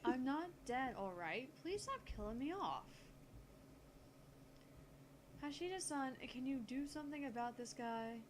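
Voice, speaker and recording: A woman's voice: high-pitched, lively intonation, normal speed, a little hiss or hum. A woman voicing a brusque, self-assured eighteen-year-old female genius scientist in a visual novel, curt and no-nonsense. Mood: exasperated. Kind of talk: visual novel read aloud in character.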